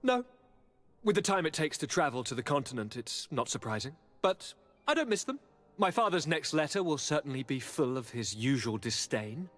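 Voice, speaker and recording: An actor playing a young noble governor in a video game, sickly and restless, with a theatrical, courtly and expressive delivery. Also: male voice, fairly high pitch, normal speed, soft background music. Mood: breezy, wry. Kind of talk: video game cutscene dialogue.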